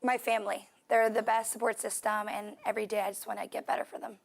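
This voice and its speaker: A woman's voice: mid-range voice, level tone, fast, vocal fry. A woman in her twenties, from Puerto Rico, answering interview questions in a casual way with filler words. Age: 20s. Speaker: student athlete guest.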